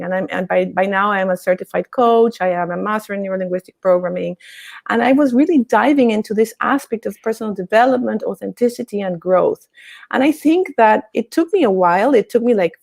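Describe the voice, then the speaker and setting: A woman's voice: mid-range voice, creaky, darkish tone. A woman guest talking openly and enthusiastically on a video call interview, with frequent fillers. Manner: open, enthusiastic, filler-heavy. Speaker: guest, coach and founder. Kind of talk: casual interview chat.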